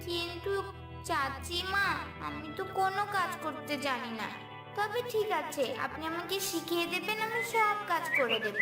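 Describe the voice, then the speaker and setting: A woman's voice: very high voice, animated, normal speed. A woman voicing an earnest, anxious little village girl in a cartoon fairy tale, innocent and pleading. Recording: roomy, distant, loud music bed.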